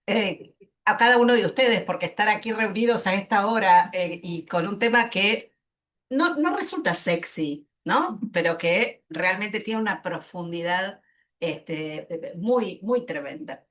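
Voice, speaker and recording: A woman with an Argentine accent giving a spontaneous panel presentation, emphatic and deliberate, repeating words as she builds her point. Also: medium pitch, animated, fairly bright tone. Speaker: panelist, health-rights lawyer.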